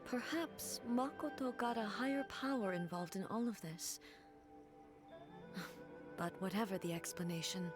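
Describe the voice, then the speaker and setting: A woman voicing a grave, composed female ruler-goddess in a game, speaking calmly and deliberately. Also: medium pitch, close to an everyday voice. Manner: calm, deliberate and earnest. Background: game soundtrack music.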